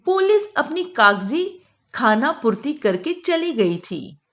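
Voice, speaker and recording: A woman storyteller with a notably high voice narrates a scripted moral tale for children. Her pace is unhurried and her intonation is very lively.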